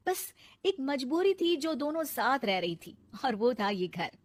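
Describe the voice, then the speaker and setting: A woman's voice: sits high, sing-song, a little slow, no room sound. A woman narrating a scripted comic cartoon story.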